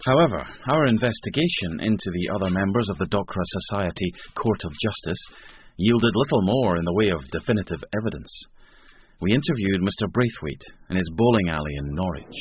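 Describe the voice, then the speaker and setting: An actor playing a police sergeant who narrates a radio drama with dry, wry formality. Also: male voice, low voice, lively intonation, brisk. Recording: dull radio recording, faint noise.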